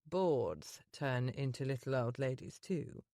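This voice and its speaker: A woman voicing a blunt, arrogant young gentleman in a lowered, cool and clipped voice for an audiobook. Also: lively intonation, slow, no echo, studio quality, exaggerated voice. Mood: dry.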